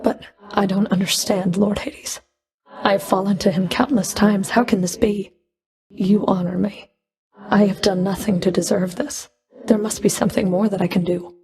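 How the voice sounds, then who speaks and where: A woman's voice: medium pitch, steady tone, moderate pace, darkish tone, lightly acted. A woman playing a stern, sardonic underworld fury in a video game, speaking in a cool, clipped, dry and commanding manner. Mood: deferential. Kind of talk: video game character voice lines.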